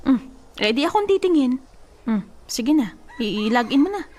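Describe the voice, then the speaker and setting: An actress playing a blunt, bossy female cousin in a radio drama, teasing and scolding with a sharp tongue. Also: sits high, very animated, normal speed.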